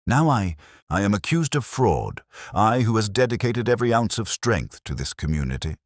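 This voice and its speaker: A man's voice: fairly low pitch, sing-song, normal speed, no echo, clean studio sound, pitched above the performer's own voice. A man playing a grandiose, self-pitying small-town mayor, florid and defensive, in a mystery story. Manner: florid, speechifying.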